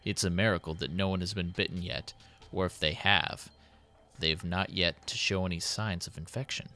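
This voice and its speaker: A man voicing a worried, dutiful city president who dictates his diary in a formal, measured, solemn way. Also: low-pitched, fairly even intonation, bright, forceful tone. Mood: grave concern. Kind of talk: dramatic in-character diary reading.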